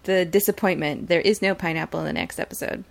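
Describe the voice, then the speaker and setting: A woman's voice: on the low side, steady tone, quick pace, vocal fry. A woman co-hosting a podcast, chatting casually about a TV show. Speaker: podcast co-host. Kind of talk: casual TV recap banter.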